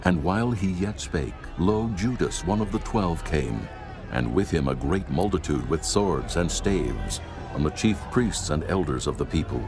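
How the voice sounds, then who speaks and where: Man, scripture narrator, extremely low voice, music behind. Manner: steady, measured storytelling.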